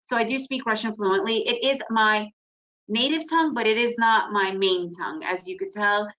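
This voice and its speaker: A woman from the former Soviet Union who grew up in the United States, speaking. She presents a trading webinar in an energetic, chatty way over a video call from her home, often restarting sentences and tagging them with "right?". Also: mid-range voice, normal speed, low-quality recording. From Soviet Union, raised in the United States. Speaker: webinar presenter, day trader. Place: home in Guatemala.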